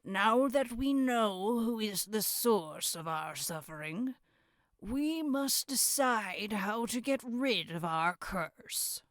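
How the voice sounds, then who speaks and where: A woman playing a solemn, self-important village elder, speaking formally and deliberately in a horror roleplay audio drama. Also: medium pitch, lively intonation, no echo. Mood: grave. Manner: formal, deliberate, throat-clearing.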